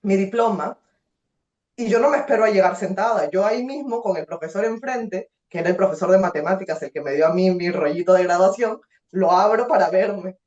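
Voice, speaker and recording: A woman's voice: medium pitch, brisk. Peruvian woman telling her story, roomy sound. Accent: Peruvian Spanish. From Peru. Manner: expressive, many fillers and restarts. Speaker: guest. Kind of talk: casual interview storytelling. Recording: roomy, slightly dull sound.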